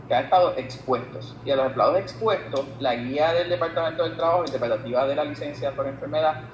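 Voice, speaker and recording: A man with a Puerto Rican Spanish accent explaining as an expert guest in an interview, haltingly repeating words as he reasons. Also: on the high side, lo-fi sound. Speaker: guest expert on labor law. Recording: echoey room with some background noise.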